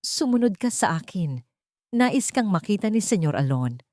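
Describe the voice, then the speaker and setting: A woman's voice: mid-range voice, big pitch swings, moderate pace, darkish tone, no room sound, studio quality, lightly acted. A woman voicing a formal, curt aunt in her late forties who runs a mansion, in an audiobook. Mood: cool, formal. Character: stiff, cold-eyed housekeeper aunt in her late forties. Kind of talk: audiobook character dialogue.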